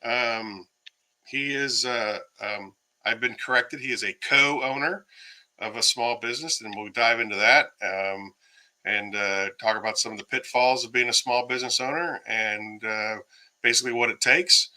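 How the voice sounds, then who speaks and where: A man chats on a casual livestream, rambling through fillers and shouting out viewers. His voice is starting to go hoarse. The tone is strongly bright and pressed. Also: mid-range voice, normal speed. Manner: rambling, many fillers, greets viewers by name. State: losing his voice. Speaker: livestream host. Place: home, by himself.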